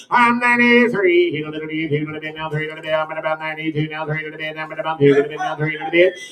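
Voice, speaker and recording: American guy calling a livestock auction, repetitive rhythmic patter, bit high pitched, echoey pa. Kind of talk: rapid auction chant mixed with spoken sales pitches. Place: indoor livestock auction ring.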